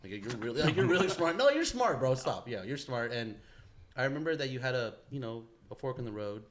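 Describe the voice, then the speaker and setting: A man talks at a rapid pace in a mid-range voice. He is a podcast co-host in casual chat, inquisitive and full of slangy bro-talk. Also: medium pitch, sing-song, background noise.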